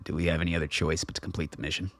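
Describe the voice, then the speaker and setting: A gruff old father played by a male actor in a radio play. His voice sits deep and is recorded very dry. He speaks tersely, swears, and sounds guarded and distrustful. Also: little pitch movement, racing, a bit bright, no echo. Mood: suspicious, stern. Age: older. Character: gruff, suspicious old father on a covert mission.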